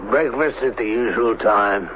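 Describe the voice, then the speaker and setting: A man's voice: on the low side, measured pace, close to an everyday voice. A man acting a cold, secretive doctor in an old-fashioned radio drama, curt, impatient and domineering with his wife. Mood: impatient, defensive. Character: cold, irritable, secretive scientist doctor and husband. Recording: tinny, band-limited, noisy radio broadcast recording.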